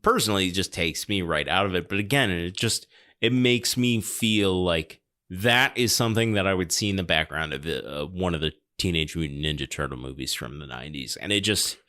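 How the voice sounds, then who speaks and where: A man's voice: low voice, a little fast, bright, forceful tone. A man hosting a podcast, reviewing films in a casual, sardonic and critical way. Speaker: podcast host. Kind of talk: casual film review chat.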